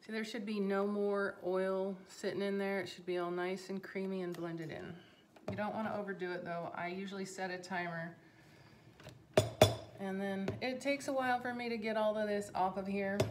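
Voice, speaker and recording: A woman's voice: mid-range voice, very noisy. A woman in her fifties, a health coach, casually walking through a recipe in her home kitchen while she works, speaking into a camera on the counter. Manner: relaxed, unhurried, talks while working. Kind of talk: casual cooking demonstration. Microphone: phone or camera on the counter.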